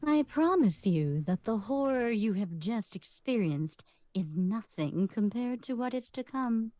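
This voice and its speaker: An actress playing an ominous, theatrical hostess of a vintage radio horror show. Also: female voice, medium pitch, warm tone, no room sound.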